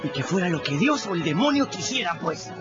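A streetwise, quick-witted boy to narrate a radio play. His fairly high voice rises and falls with lively storytelling. Music sits under a dull, lo-fi recording. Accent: Peruvian Spanish. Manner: folksy, lively first-person storytelling.

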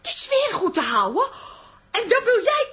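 A woman's voice: extremely high pitch, huge pitch swings, unhurried, a bit bright, exaggerated voice. A woman playing a spirited, outspoken pub landlady in a radio comedy drama. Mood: indignant. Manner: chatty, outspoken.

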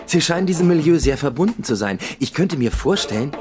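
An actor playing a menacing, sardonic police officer who presses hard with questions in a radio drama. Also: male voice, on the high side, lively intonation, fairly quick, lightly acted. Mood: pressing.

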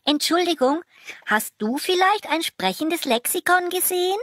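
A woman's voice: very high-pitched, normal speed, dry recording, studio quality. A woman voicing a young male jumping mouse, friendly, scatterbrained and boyish, in a children's audio play. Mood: friendly, helpful. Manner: bubbly, boyish, animated.

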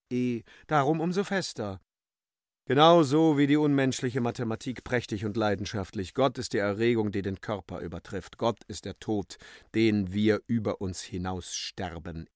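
A man's voice: fairly low pitch, a little slow, no room sound, clean studio sound. A man giving a theatrical, intense reading of literary prose for a radio drama.

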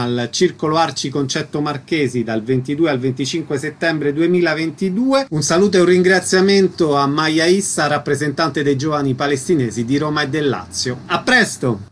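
A man's voice: on the high side, normal speed, few pauses. A man hosting a radio programme, putting concise questions and reading out announcements. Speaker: radio interviewer, host.

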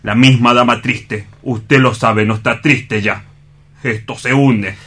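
Radio play casting: a gloomy, idealistic employee, deferential yet aggrieved, with a forceful bright tone and medium pitch. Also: male voice, steady tone, takes it slowly. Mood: disillusioned. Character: earnest, morbidly disappointed male assistant, comically solemn idealist. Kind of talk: dramatic staged radio reading.

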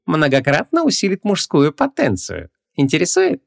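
A man's voice: a little high, big pitch swings, normal speed, creaky, dry recording, clean studio sound, voice pushed up. A man voicing a sugary, wheedling antique shop swindler in an audiobook, ingratiating and theatrically indignant. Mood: oily salesmanship.

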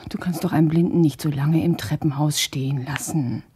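An actress playing a considerate but bossy young wife in a radio play, breathy and polite yet insistent. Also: female voice, low-pitched, steady tone, a little fast. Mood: hushed, insistent. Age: young adult. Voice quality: breathy, often hushed. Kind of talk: German radio play acting.

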